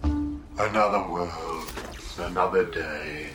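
An actor playing a weary, pessimistic male robot in a radio play, speaking in a flat, despondent drawl. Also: deep voice, slow pace, over music. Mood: glum. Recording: hissy radio play recording.

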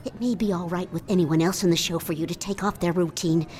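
A woman voicing an anxious, pleading circus wife in an audio drama, sometimes sinking to a hushed whisper. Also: on the low side, fairly even intonation, fast talker, close to an everyday voice. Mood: worried.

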